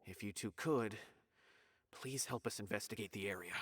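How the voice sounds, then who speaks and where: An actor playing a polite, earnest male investigator in a video game, explaining things in a measured way. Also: lowish voice, heavy background noise, close to an everyday voice. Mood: grave, urgent.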